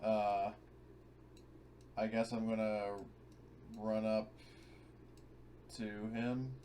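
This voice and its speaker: A man with a slightly low voice chats casually as a player in a tabletop game. His manner is blunt and informal, and there is faint noise on the recording. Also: little pitch movement, drawn-out words, dark tone. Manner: blunt, sweary. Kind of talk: casual chat.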